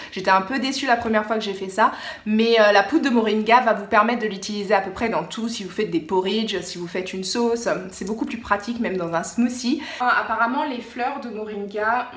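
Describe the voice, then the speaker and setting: A woman from France with a French accent, chatting casually as a vlogger, informal and repeating words for emphasis. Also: a little high. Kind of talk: casual chatty show-and-tell vlog. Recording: roomy echo, distant mic, faint noise.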